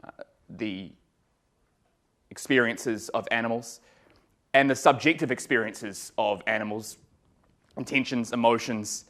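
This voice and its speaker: A man with a New Zealand accent giving an academic law lecture in a relaxed way, with many ums and uhs and some dry humor. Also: medium pitch, a little slow, pauses often. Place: lecture room.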